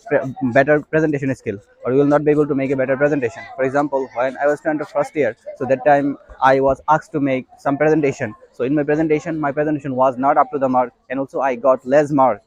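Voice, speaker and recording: Young male English teacher, Bangladeshi accent, native Bengali speaker, quick emphatic explaining, dry and slightly muffled recording. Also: mid-range voice, a little mellow, dry recording. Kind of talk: instructional talk to learners.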